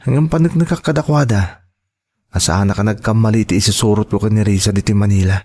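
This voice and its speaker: A man's voice: on the low side, quick pace, a little mellow. A man with an Ilocano accent acting a trusting, devoted young son and partner in an audio drama, speaking earnestly and reassuringly. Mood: hopeful, reflective.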